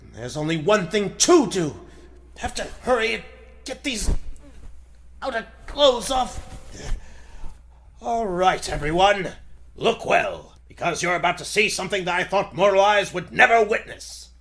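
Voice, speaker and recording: Man playing an earnest, slow-spoken radio superhero. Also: sits high, animated, a bit bright, heavily acted. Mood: resolute. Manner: melodramatic, halting, earnest. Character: noble male superhero in his secret identity, earnest. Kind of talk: 1940s radio serial acting.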